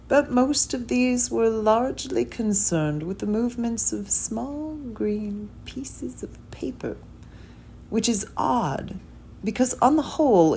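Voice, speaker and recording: A woman giving a scripted literary narration, reading a story in measured, deliberate phrases with pauses. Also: mid-range voice, warm tone, slight background noise.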